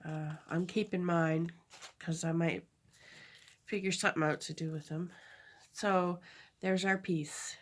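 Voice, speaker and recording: A woman with a stuffy nose from a cold, chatting through a craft tutorial at her table, halting often and correcting herself. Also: on the low side, fairly even intonation. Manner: halting, chatty, many fillers and self-corrections. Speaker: crafter demonstrating a project.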